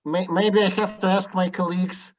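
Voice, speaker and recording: A man speaking on a roundtable panel over a video call, deliberate and sardonic, repeating his points to drive them home. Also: high-pitched, level tone. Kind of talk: roundtable discussion. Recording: muffled video call audio.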